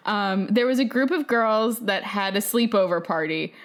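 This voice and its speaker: A woman's voice: mid-range voice, animated, moderate pace. A woman co-hosting a podcast, chatting casually and reading emails aloud in an informal, slangy way. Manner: informal, slangy, peppers talk with "like". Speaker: podcast co-host.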